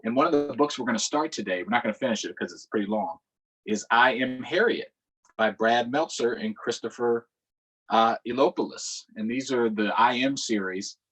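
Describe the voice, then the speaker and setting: A man's voice: mid-range voice, moderate pace, a bit bright, husky, rough recording. An American man hosting a livestreamed storytime for children, talking casually in an upbeat, conversational way.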